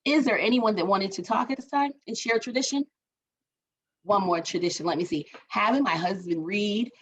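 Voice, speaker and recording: A woman's voice: mid-range voice, a little fast, a bit bright, breathy edge. A woman from Barbados, leading an online group workshop, chatty and encouraging as she reads the chat aloud. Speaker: workshop facilitator. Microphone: video call.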